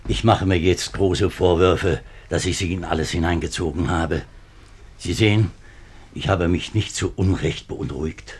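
Deep, husky, nervous government minister. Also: male voice, steady tone, normal speed. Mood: troubled, courteous. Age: middle-aged. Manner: agitated, hesitant, formal. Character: nervous, worn-down government minister, husband and father. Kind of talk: 1950s German radio drama acting.

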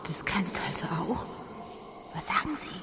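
A woman playing a tough, sharp-tongued female agent in a German radio drama, curt and commanding. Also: fairly low pitch, sing-song, normal speed, in a whisper. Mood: alarmed, hushed.

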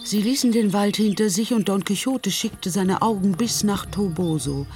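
A woman's voice: medium pitch, moderate pace, darkish tone, soft background music. A woman narrating a literary radio play from a script.

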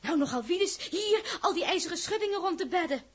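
An actress playing a naive, flighty young woman aboard a spaceship in a 1960s radio drama, girlish and halting. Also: very high voice, expressive. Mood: naive, unsure. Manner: hesitant, stammering, girlish.

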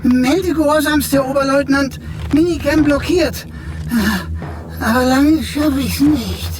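A man's voice: very high voice, slow, noisy background. A man playing a cheeky, whining little talking computer in a radio drama, wordy, mock-poetic and mock-servile. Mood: cheeky, grumbling.

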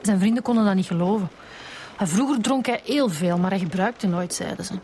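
Actress as earnest Flemish police detective, medium pitch. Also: female voice, a little fast, darkish tone, a little hiss or hum, close to an everyday voice. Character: earnest female police detective reporting her findings. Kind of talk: naturalistic radio drama acting.

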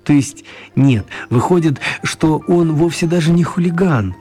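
An actor narrating a children's tale as the storyteller of a radio play. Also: male voice, on the high side, a little slow, mellow, soft background music, natural voice. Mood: storytelling.

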